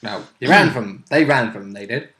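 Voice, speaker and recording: A man's voice: fairly low pitch, brisk, a bit bright. A British man who plays in a tabletop role-playing game, chatting casually in a wry, irreverent way. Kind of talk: casual chat.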